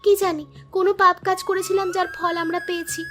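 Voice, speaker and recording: A woman's voice: extremely high pitch, level tone, fairly quick. A woman voicing a guilt-ridden, repentant village wife in a cartoon, with a plaintive, dramatic delivery. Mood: worried, regretful. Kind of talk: Bengali cartoon voice acting. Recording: music behind the voice.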